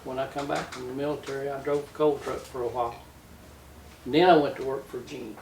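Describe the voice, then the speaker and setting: A man's voice: mid-range voice, steady tone, a little fast, gravelly, a little mellow. An older man with a Southern US accent, reminiscing casually in a plainspoken, folksy way. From Tennessee, United States. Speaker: interview guest, retired-era trucker telling his life story. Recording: roomy, distant mic with faint noise.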